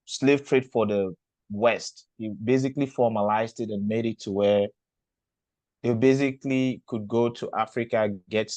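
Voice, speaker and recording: A man's voice: fairly low pitch, fairly even intonation, normal speed, darkish tone, no room sound. A man hosting an interview, asking long questions while thinking aloud and affirming the guest. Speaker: host.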